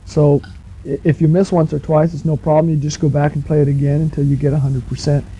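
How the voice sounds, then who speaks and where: Male, slightly high-pitched, dark mellow tone, conversational instructor, chatty, addresses the listener directly. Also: little pitch movement, quick pace, husky. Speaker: instructor on a software instruction tape. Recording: muffled 1970s cassette tape recording.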